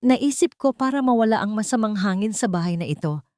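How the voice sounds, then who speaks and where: Woman as a sweet-talking high-society wife. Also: animated, a little fast, a little mellow, no echo, studio quality, natural voice. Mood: sweetly affectionate. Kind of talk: audio drama storytelling.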